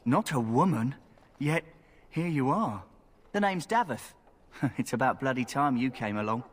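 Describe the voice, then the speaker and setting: An actor playing a cheeky, wry roguish recruit in a video game, flippant and colloquial. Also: male voice, mid-range voice, lively intonation, a little slow, in a large hall. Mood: teasing.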